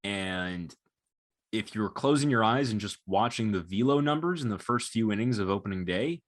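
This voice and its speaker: Male podcaster, twenties, fairly low voice. Conversational, analytical sports talk. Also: lively intonation, moderate pace. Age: 20s. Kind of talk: casual analytical sports chat.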